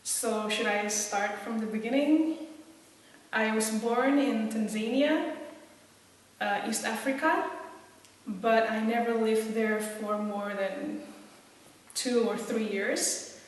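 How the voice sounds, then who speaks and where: Slow-talking woman in a very echoey room. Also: on the high side. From Indonesia, grew up in several countries. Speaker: interviewee. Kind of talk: reflective personal interview. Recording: very echoey room, distant mic.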